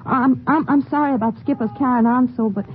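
Nervous wife and young mother, stammering and halting, in an old radio play played by a woman. Muffled, tinny broadcast with light hiss. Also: high voice, lightly acted. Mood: worried. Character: anxious young wife, worried about money. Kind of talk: 1950s radio drama acting.

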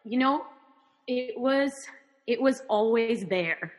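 A woman's voice: fairly high pitch, expressive, slow pace, long reverb tail. A woman who grew up in Miami with Spanish as her first language, a guest talking casually and thoughtfully about herself, with frequent restarts. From Miami, Florida, US. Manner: thoughtful, restarts often, warm laughs. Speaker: guest, performing artist. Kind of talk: casual interview talk.

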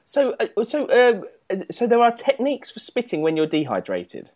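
Lively, giggly podcast co-host. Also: medium pitch, moderate pace. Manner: giggly, squeamish questioner. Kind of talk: casual chat.

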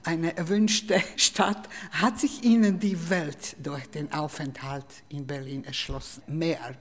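Low-voiced woman interviewer in a reverberant room. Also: steady tone. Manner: measured, formal phrasing. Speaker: radio interviewer. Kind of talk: formal interview questions. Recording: reverberant room with faint ambient noise.

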